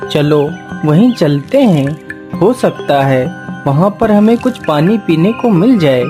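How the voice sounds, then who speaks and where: Woman doing earnest young prince for a cartoon folk tale, real slow n expressive, music behind. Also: sits low. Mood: earnest, caring. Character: young prince, earnest devoted husband to Rupmati. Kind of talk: Hindi animated moral story acting.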